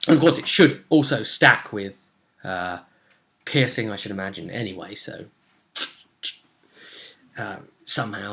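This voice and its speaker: A man's voice: fairly low pitch, bright, forceful tone. A young man casually recapping a tabletop role-play session, rambling and hesitant, with bursts of dramatic emphasis. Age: young adult. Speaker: vlogger, game master. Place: small indoor room at home.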